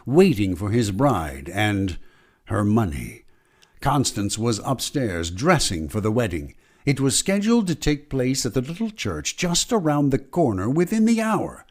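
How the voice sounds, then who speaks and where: A man playing a brooding old-time radio mystery narrator, telling the story in a deliberate, dramatic way. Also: medium pitch, very animated, a little mellow. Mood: ominous.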